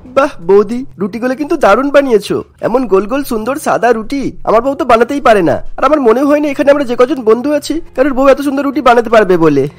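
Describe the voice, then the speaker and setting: Jovial young cartoon fellow, played by a man, effusive, boastful, high-pitched and quick. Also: expressive. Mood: admiring. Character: jovial young men, husband and friend, praising home cooking. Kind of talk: animated cartoon dialogue.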